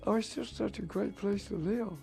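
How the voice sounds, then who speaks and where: A man's voice: high-pitched, moderate pace, gravelly, mellow, faint music behind. A man in his eighties from the southern United States, casually reminiscing as an interviewee. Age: 80s. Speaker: interviewee, former college basketball player.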